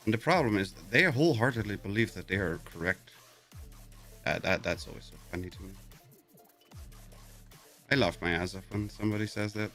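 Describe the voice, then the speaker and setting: A man's voice: fairly low pitch, fairly quick, pauses often, a bit bright, faint music behind. A middle-aged man with a New York accent, chatting casually as he streams his gameplay, often stumbling and repeating his words.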